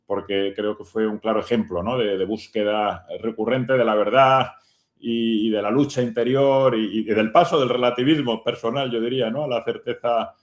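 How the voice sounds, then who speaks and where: A male radio host talks in a mid-pitched voice with a noticeable room echo. He interviews conversationally, pausing often and checking in with short tag questions. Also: steady tone. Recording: roomy, echoing sound.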